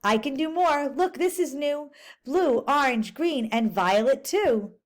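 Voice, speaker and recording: An eager spotted creature, put on by a woman reading aloud, shows off in bouncy storybook rhyme. The voice sits fairly high and moves at an unhurried pace. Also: animated. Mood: boastful. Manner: bouncy rhyming storybook delivery. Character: boastful spotted male creature, eager show-off.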